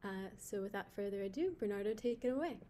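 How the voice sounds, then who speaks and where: A woman's voice: mid-range voice, lively intonation, fast, warm tone, a little hiss or hum. A woman hosting an online event, giving a prepared introduction over a video call. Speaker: event host.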